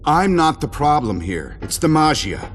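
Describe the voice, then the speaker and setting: An actor playing a cocky, wisecracking billionaire inventor in an armored suit, with an American accent and a flippant, self-assured delivery in a video game. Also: male voice, fairly high pitch, big pitch swings, a little slow, slight background noise. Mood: defiant. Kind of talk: video game cutscene acting.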